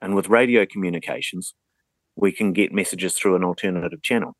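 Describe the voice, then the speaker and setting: A man with a New Zealand accent and a distinctly low voice. He explains things conversationally as an interview guest, practical and methodical. The sound is dry. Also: brisk, dry recording. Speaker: interview guest, radio communications advocate.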